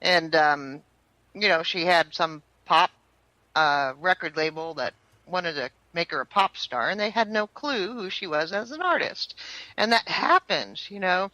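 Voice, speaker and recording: A woman's voice: on the low side, animated, measured pace, bright, forceful tone, dry recording, poor audio. An American woman in her fifties, a singer-songwriter talking casually in an interview, chatty and rambling with fillers. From United States. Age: 50s.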